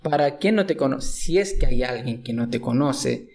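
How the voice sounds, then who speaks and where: Gravelly Chilean man hosting a podcast. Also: medium pitch, expressive, moderate pace, darkish tone. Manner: hesitant, restarts and fillers. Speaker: podcast host. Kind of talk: casual conversational podcast.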